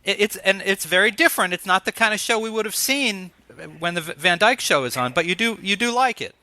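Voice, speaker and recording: A middle-aged man hosting a conversational interview, asking questions in a halting, tentative way. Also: high-pitched, animated, pushed and bright, no room sound. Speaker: interviewer, host.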